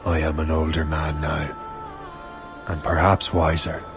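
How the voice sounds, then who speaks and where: An actor playing a grave, resolute older in a video game, speaking in a solemn, measured way. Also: male voice, a bass voice, little pitch movement, music in the background. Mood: solemn resolve. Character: grave, world-weary older Templar man, coldly resolute. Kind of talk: solemn scripted game narration.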